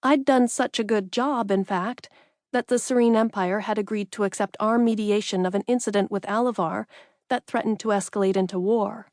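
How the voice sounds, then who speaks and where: Woman, audiobook narrator, scripted first-person fantasy storytelling, medium pitch, very dry recording. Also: steady tone, normal speed, a little mellow, dry recording.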